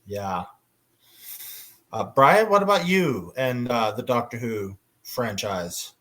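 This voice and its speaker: Halting male panel moderator. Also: mid-range voice, expressive, a bit bright. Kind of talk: casual panel discussion.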